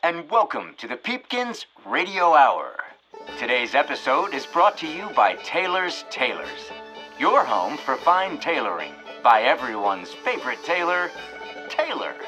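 A man's voice: a little high, very animated, unhurried, poor audio. A man playing a cheerful, folksy small-town host who announces an old-time radio hour in a presentational way. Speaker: host and narrator. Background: plucked guitar music.

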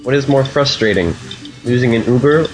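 A man's voice: mid-range voice, normal speed, rough recording. Faint music plays under a man with a mid-range voice. He reads scripted quiz questions as the questioner of a game segment.

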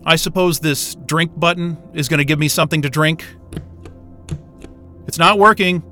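An actor playing an irreverent, wisecracking astrophysicist in a sci-fi audio drama, with a sarcastic, informal and exasperated way of talking. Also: male voice, fairly high pitch, normal speed, sharp tone, faint music behind. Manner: sarcastic, informal, hesitant muttering and outbursts.

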